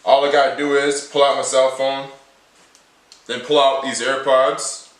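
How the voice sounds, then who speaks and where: A man's voice: medium pitch, little pitch movement, unhurried, echoey room, distant mic. A nineteen-year-old man vlogging to camera from a table in a small room, rambling and repeating himself as he shows his everyday carry. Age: late teens. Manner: repetitive, rambling, restates points often. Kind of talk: casual to-camera vlog.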